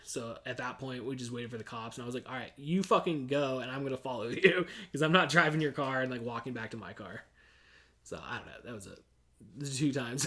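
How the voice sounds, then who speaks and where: A man in his forties chatting casually on a podcast. He is laid-back and rambling, laughs often, and leans on "dude" and swearing as he tells stories. His voice sits at an ordinary pitch for a man. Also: pushed and bright. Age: 40s. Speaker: podcast host. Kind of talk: casual chat.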